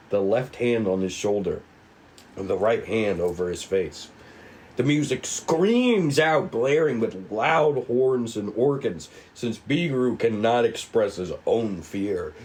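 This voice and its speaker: A man reading screen directions aloud at a table read, with measured, dramatic storytelling and casual asides. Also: lowish voice, a little mellow, slight background noise, low-quality recording. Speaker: writer and narrator of a table read. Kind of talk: scripted narration of screen directions.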